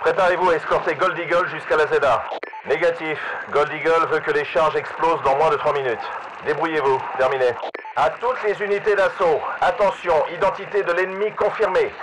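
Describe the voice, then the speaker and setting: Stern, high-pitched military radio controller. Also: male voice, steady tone, a little fast. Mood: stern, urgent. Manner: clipped, authoritative military delivery. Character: commanding male mercenary operations controller, brisk and stern. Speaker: radio dispatcher. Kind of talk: scripted military radio chatter. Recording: noisy game radio chatter.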